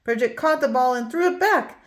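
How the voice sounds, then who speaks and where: A woman reading a children's picture book aloud, expressive and storytelling. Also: sits high, brisk. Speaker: read-aloud host.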